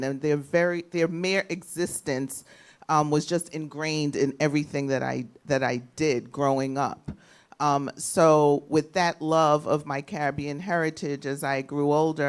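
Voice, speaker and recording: A woman from the US Virgin Islands and New York, a radio host talking informally and with good humour on a panel. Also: sits low. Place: live panel event with an audience.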